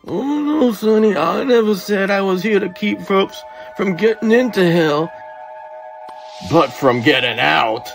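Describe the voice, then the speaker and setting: A man putting on a very old doorkeeper who has sat at hell's gate for ages. He drawls in a folksy way and calls younger men sonny, pitched a little higher than the reader's own voice, with soft music underneath. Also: animated, unhurried, vocal fry, heavily acted. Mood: ominous. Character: ancient old man guarding hell's door.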